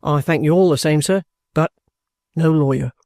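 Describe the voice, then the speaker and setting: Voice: medium pitch, normal speed, darkish tone, no room sound, studio quality, pitched below the performer's own voice, natural voice. A reader voicing a bluff, stubborn ex-soldier in an audiobook, courteous, plain-spoken and offhand. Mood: polite, firm. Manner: respectful, offhand, self-possessed.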